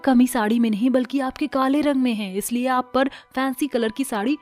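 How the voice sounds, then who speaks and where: A female narrator acts a snide young daughter-in-law in a cartoon. The voice is fairly high, with exaggerated taunting delivery over light music. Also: fairly even intonation, moderate pace, darkish tone, lightly acted. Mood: mocking. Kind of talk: cartoon story dubbing.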